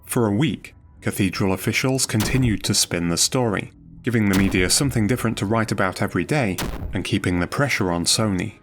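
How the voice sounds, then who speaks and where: Low-pitched man narrating a documentary. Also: fairly quick, a little hiss or hum.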